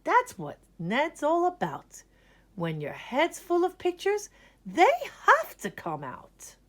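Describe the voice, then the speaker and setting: Woman voicing an excitable, high-pitched kindly art teacher. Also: slow pace, exaggerated voice. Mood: bright encouragement.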